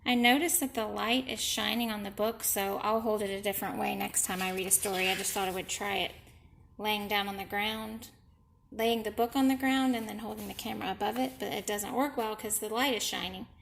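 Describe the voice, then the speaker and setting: A woman teacher reading a picture book to young children, deliberate and explanatory, pausing to ask questions. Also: medium pitch, fairly even intonation, gravelly, a bit bright, slight background noise. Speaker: elementary school teacher. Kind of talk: read-aloud lesson for young children.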